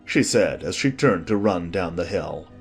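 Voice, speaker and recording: Low-voiced man narrating a storybook. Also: animated, measured pace, slightly rough, faint music behind.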